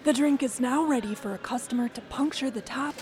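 Female radio reporter, scripted feature narration, measured and descriptive, slightly high voice. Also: crowd noise. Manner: measured, descriptive storytelling read.

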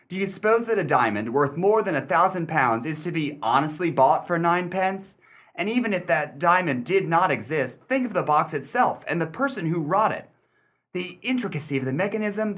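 A man playing a shrewd, suspicious gentleman collector in a radio play, theatrical and wordy, with mock-courteous condescension. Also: on the high side. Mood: wry, reproachful. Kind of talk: old-fashioned radio play acting.

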